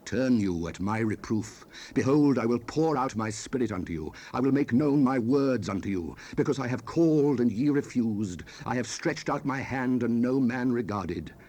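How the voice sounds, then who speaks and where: A man's voice: mid-range voice, expressive, normal speed, few pauses, warm tone, lightly acted. An actor playing a stern fatherly biblical sage, declaiming scripture solemnly in an archaic style for a dramatized audio Bible. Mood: stern, impassioned. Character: stern fatherly biblical sage, exhorting and warning his son. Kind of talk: dramatized King James scripture reading, 1970s audio production. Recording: noisy raw cassette rip.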